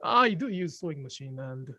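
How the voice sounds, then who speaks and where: A man with a Japanese accent, a native Japanese speaker, talking about stitching in a casual group conversation, pausing to find words and often laughing. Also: a little high, big pitch swings, sharp tone, no room sound. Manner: thoughtful, pauses to search for English words, laughs often. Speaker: sashiko teacher.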